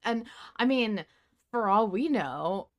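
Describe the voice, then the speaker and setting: A woman's voice: mid-range voice, animated, slow pace. A woman hosting a podcast in casual, enthusiastic discussion. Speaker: podcast host.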